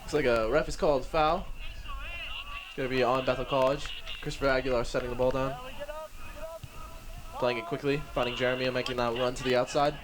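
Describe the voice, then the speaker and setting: A man doing play-by-play commentary for a live match, slightly hoarse, excitable and chuckling often. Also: mid-range voice, a little fast, long pauses, background noise.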